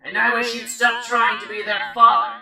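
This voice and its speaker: A woman voicing a haughty, irritable female void goddess from a visual novel, with a creaky voice and an imperious, scornful manner. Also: fairly high pitch, level tone, moderate pace, recorded from a distance. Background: background music.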